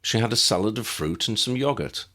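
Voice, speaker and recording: A man's voice: on the low side, lively intonation, fairly quick, sharp tone. A man narrating an audiobook story in a scripted storytelling style.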